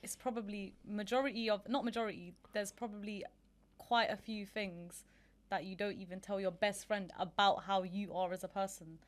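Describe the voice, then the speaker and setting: A woman's voice: mid-range voice, fairly even intonation, moderate pace. A woman co-hosting a podcast, chatting thoughtfully and agreeably. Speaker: podcast co-host. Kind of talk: casual chat.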